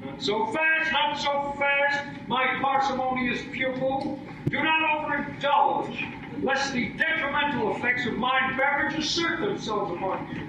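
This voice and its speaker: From far off in a noisy hall comes a jovial Christmas spirit, boozy and pompous. The voice is very high and rolls slowly through florid, alliterative bluster in old-time radio comedy style. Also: male voice, lo-fi sound.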